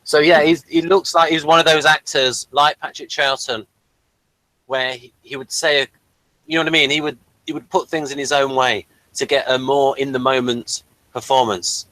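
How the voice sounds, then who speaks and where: A man with a medium-pitched voice talks casually about a TV show. He sounds hesitant, often feeling for the right word and rewording his point. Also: flat delivery, moderate pace, bright, forceful tone, dry recording, low-quality recording. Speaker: podcast co-host. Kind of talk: casual TV episode discussion.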